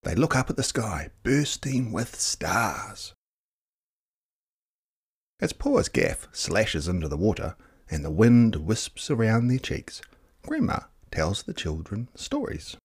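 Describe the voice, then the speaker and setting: Very animated, husky male storyteller. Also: fairly low pitch. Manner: dramatic, with hushed, drawn-out words. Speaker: picture book reader. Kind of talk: expressive children's story narration.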